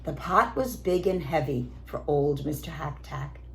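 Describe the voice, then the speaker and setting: A woman narrates a children's storybook like a teacher reading to pupils. Her delivery is expressive and slow, with lots of rise and fall in pitch. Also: a little hiss or hum.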